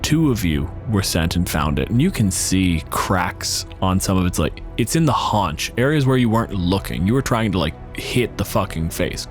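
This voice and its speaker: A man with a fairly low voice narrates a tabletop fantasy game over faint music. He is the game master, casual and sweary, improvising vivid descriptions as he goes.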